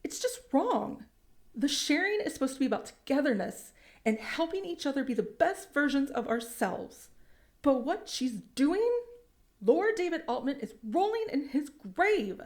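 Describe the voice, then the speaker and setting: Casting: woman as an earnest, worried woman, slightly high voice. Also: sing-song, normal speed. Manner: earnest, anxious. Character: worried woman recovering in physical therapy.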